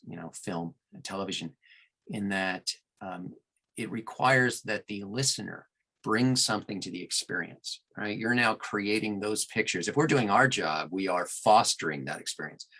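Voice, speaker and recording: Gravelly, very deep-voiced man. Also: bright, forceful tone, poor audio. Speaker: audiobook narrator and producer, interview guest. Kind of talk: conversational interview answers.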